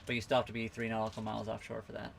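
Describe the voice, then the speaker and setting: A man from western Canada, hosting a casual live Q&A from his sailboat, reading out viewer comments and answering them in a relaxed, rambling way. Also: lowish voice, very fast, creaky, a little hiss or hum. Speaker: livestream host answering viewer questions. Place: on a sailboat at a marina.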